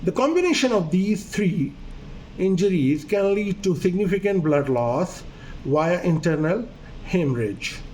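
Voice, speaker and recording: A man's voice: high voice, lively intonation, a little slow, a little mellow, slight background noise, low-quality recording. A man whose first language is Urdu, a doctor giving a medical video lesson in an earnest, hesitant way, with fillers and repeated phrases. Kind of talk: medical lecture.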